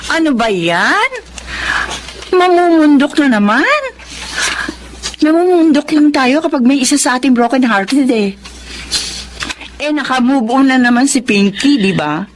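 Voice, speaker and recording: An outspoken young woman with a high voice and a Filipino accent. She is the blunt, teasing best friend of a radio drama, full of exclamations and exasperation. Faint noise sits behind her. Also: expressive, a little slow, pauses often. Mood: playful complaint.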